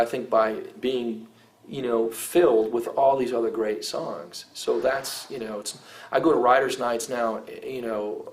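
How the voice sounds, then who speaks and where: A middle-aged man who sings rock, talking in a casual interview, rambling with many fillers and restarts. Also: mid-range voice. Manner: rambling, heavy use of "you know" and "I mean", frequent restarts. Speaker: rock vocalist giving advice.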